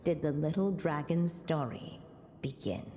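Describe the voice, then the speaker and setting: A woman playing a gentle, solemn storybook narrator, reading a fairy tale in a video game. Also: low voice, animated, measured pace, crowd noise. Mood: tender. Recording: long reverb.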